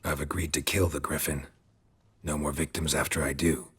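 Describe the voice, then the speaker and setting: A very deep, husky monster hunter. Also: male voice, moderate pace, dry recording, exaggerated voice. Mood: dry, probing. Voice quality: gravelly, husky low voice. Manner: terse, deadpan. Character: stoic gruff male monster hunter, middle-aged, dry-witted.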